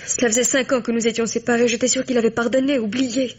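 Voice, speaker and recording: Sorrowful witness with husky, higher voice. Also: female voice, little pitch movement, a little mellow. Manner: measured, wistful recollection. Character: sorrowful, composed young woman questioned by police. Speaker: actress in a radio crime drama. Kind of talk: French radio mystery acting. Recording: muffled old radio recording.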